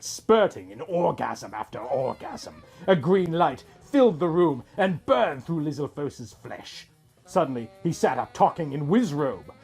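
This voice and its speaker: A man's voice: medium pitch, vocal fry, a little mellow. A man reading a scripted first-person story as its narrator. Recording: music bed under the voice. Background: music.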